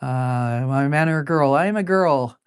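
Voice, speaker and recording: A woman in her forties with a low, deep voice, chatting casually while drawing live. She rambles, laughs often, and fills pauses with plenty of ums and uhs. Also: smooth, no room sound, studio quality. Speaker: artist drawing live on stream.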